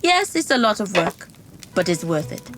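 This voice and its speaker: A woman's voice: medium pitch, very animated, normal speed, lightly acted. A woman playing a woman of biblical times in an audio drama, looking forward to a feast. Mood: cheerful, reassuring.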